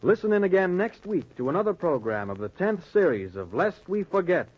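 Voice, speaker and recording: A man announcing formally in 1940s radio style, with a husky voice. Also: high-pitched, big pitch swings, fairly quick. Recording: dull old radio recording, faint music.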